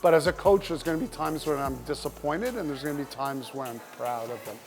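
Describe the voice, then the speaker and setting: A man with a somewhat high voice, reflecting earnestly as both father and coach. Music plays faintly behind him. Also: fairly quick. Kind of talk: documentary interview.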